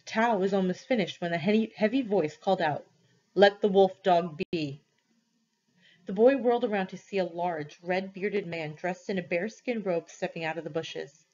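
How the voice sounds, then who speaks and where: A woman's voice: lowish voice, brisk, few pauses, a little mellow, muffled recording. A woman reading a story aloud expressively, as a teacher does for students.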